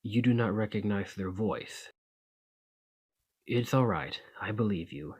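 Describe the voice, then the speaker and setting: A man playing a courteous, protective vampire of the 1820s in an ASMR roleplay, with gentle, old-fashioned formal phrasing. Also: fairly low pitch, measured pace, slightly rough. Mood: worried, soothing.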